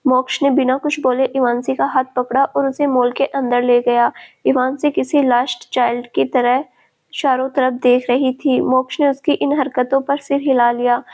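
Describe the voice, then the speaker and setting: A woman narrating a scripted family story at a steady, even reading pace. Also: high voice, normal speed.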